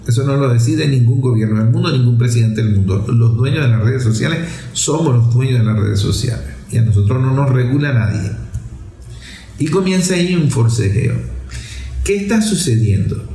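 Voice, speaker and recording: A man's voice: medium pitch, animated, moderate pace, mellow. A man giving opinionated, off-the-cuff political commentary, emphatic, repeating and stretching key words to press his point. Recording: roomy, echoing voice recording.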